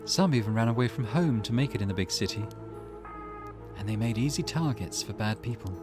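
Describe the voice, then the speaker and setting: A man voicing a reflective woman in a visual novel, telling a grim tale in a measured, wistful way over music. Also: medium pitch, lively intonation, lightly acted. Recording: loud music behind voice.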